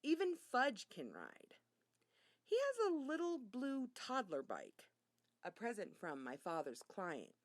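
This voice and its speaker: Slow, highly animated woman storyteller. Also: dry recording. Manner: expressive, storytelling delivery. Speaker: reader. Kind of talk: children's audiobook narration.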